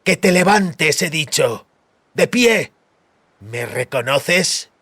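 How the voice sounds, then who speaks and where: A man's voice: a little high, very animated, slow pace, a bit bright, husky, dry recording, studio quality, voice pushed up, exaggerated voice. A man voicing a cruel, vengeful male henchman mage who sneers and threatens in a fantasy audiobook. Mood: furious, commanding. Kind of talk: dramatized fantasy audiobook acting.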